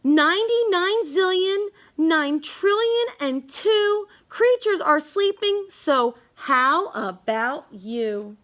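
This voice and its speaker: A woman reading a rhyming children's bedtime story aloud, halting now and then with small stumbles over words. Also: extremely high pitch, expressive, a bit bright. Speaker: storybook reader.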